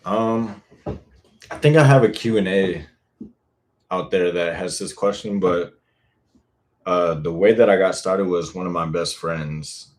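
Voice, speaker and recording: A young man hosting a live Q&A, chatting casually and frankly, reading viewer comments and swearing now and then. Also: deep voice. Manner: laid-back, rambling, frank with casual swearing. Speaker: boyfriend-audio creator hosting a live Q&A.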